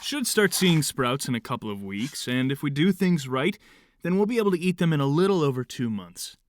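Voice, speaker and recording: An actor in an audio drama playing a stern, impatient commune leader with a curt, no-nonsense manner. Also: male voice, on the high side, big pitch swings, brisk, a little hiss or hum. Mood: calm instruction.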